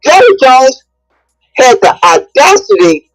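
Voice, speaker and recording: High-pitched, emphatic woman caller. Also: big pitch swings, takes it slowly, creaky, poor audio. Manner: emphatic, repeating phrases for force. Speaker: caller in a live audio discussion. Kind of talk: impassioned spoken opinion.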